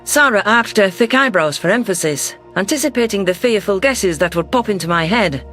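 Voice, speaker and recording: A man reads a science fiction audio story as its narrator. His voice sits very high for a man, and soft music plays underneath. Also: lively intonation, brisk. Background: faint music.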